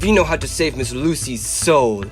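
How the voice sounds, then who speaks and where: A man's voice: medium pitch, expressive, normal speed, loud music behind the voice, natural voice. A young man playing a resolute, urgent vampire-hunting professor, formal and earnest, in a radio drama. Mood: defiant, resolute. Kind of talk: stage-style radio drama acting.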